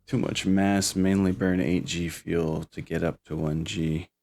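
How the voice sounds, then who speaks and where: A man's voice: low-pitched, level tone, measured pace, darkish tone. A man hosting as a game master, chatting casually in an upbeat, informal way with players and viewers. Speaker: game master and stream host.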